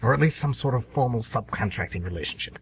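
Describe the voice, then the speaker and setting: A man's voice: medium pitch, animated, quick pace, slightly rough. A man playing the Devil as an anxious, aggrieved, scheming businessman in a comedic amateur radio play, sardonic and always bargaining. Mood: persuading, businesslike. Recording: noisy amateur radio recording with hum.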